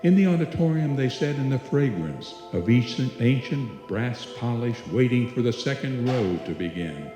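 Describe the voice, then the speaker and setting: A man's voice: medium pitch, sing-song, normal speed, hardly any pauses, close to an everyday voice. A man narrating a scripted suspense radio play as its storyteller, performed live. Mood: measured, suspenseful. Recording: echoey hall, music behind, live stage.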